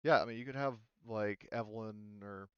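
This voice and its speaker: A man's voice: on the low side, normal speed, smooth, dry recording, muffled recording. A man speaking casually as a game master, explaining rules and settings in a hesitant, rambling, easygoing way.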